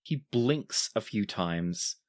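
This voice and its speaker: A man's voice: fairly low pitch, lively intonation, slow. A man narrating a tabletop roleplaying game as its game master.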